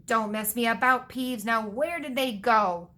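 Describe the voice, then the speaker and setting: A woman's voice: fairly high pitch, a little slow, pushed and bright. A woman voicing an irritable, demanding male school caretaker in a storybook reading. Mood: exasperated.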